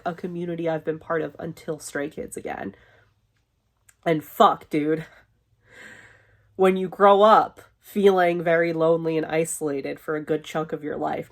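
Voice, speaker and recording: A young woman vlogging casually from her bedroom, rambling with lots of fillers and swearing, sniffly and losing her voice. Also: on the low side. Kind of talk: casual chat to camera. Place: bedroom, window open.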